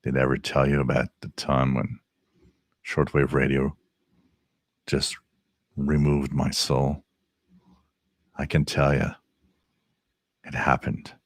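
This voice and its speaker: A man putting on a spooky voice from beyond the grave, in a slow, ominous drawl. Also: extremely low pitch, monotone, no echo, studio quality, pitched below the performer's own voice, exaggerated voice.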